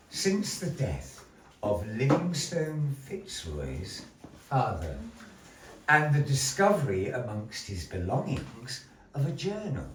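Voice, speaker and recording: A man telling a dramatic adventure tale to a live audience, slow and theatrical, with playful asides. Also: medium pitch. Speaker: puppet show storyteller. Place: small gallery room with audience. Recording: roomy echo, distant mic, faint noise.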